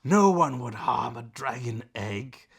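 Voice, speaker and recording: A man's voice: medium pitch, lively intonation, slow pace, creaky, slightly rough, exaggerated voice. A man voicing a wounded, defiant male ice dragon prisoner in a storybook, strained and impassioned. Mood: desperate pleading. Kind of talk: dramatic storybook character reading.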